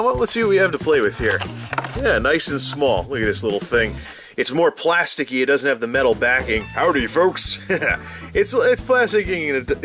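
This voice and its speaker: A man's voice: mid-range voice, pushed and bright. A man hosting a casual, unscripted gadget unboxing, rambling and interrupting himself as he thinks aloud. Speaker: gadget unboxing video host. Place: indoors at a desk, small room.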